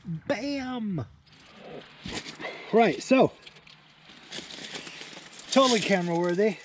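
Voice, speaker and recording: A man's voice: high voice, expressive, drawn-out words. A man in his forties giving casual, enthusiastic running commentary to camera outdoors as he fishes, in an informal, matey way of talking. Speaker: angling vlogger. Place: outdoors at night on a beach by a small shelter. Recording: clearly noisy outdoor location sound.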